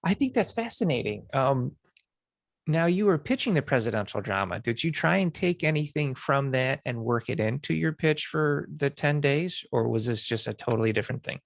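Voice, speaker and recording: Gravelly male podcast host, dry sound. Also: medium pitch, animated, moderate pace, no echo. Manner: encouraging, affirming. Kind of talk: casual conversational interviewing.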